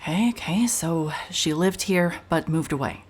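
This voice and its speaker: A woman's voice: lively intonation, normal speed, slightly rough. A woman playing a wry, irritable private investigator in an audio drama, with a dry, blunt, sardonic delivery. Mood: probing, guarded. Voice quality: low-pitched voice. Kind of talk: cinematic audio drama, noir narration and naturalistic dialogue.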